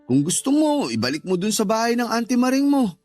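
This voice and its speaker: A man's voice: very high-pitched, big pitch swings, a little fast, darkish tone, no room sound, faint music behind, voice pushed up. A man playing a callous, irritable husband in a Tagalog radio drama, curt and dismissive. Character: callous, irritable husband who resents his stepchild.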